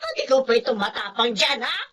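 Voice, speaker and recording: A woman playing a quarrelsome, sharp-tongued older neighbour in a radio-style drama, scolding and confrontational. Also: a little high, very animated, a little fast, a crowd in the background. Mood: angry, scolding.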